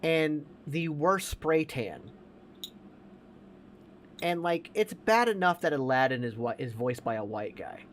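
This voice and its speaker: A man's voice: a little high, animated, measured pace, pauses often, a little hiss or hum. A man chatting casually about movies as a podcast co-host, emphatic, opinionated and given to swearing.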